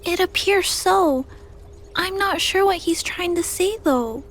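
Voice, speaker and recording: Very high-pitched, earnest little girl. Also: slow, exaggerated voice. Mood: gentle concern. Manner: soft, polite, earnest, childlike. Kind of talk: video game character dialogue. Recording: faint game music behind the voice. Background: faint game music.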